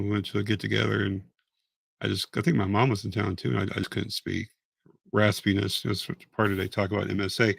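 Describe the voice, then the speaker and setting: Gravelly, hoarse man on a live stream. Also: fairly low pitch, a bit bright, no room sound. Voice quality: raspy, voice fading. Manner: rambling, halting, frequent restarts. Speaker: patient vlogger going live. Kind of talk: casual live-stream talk.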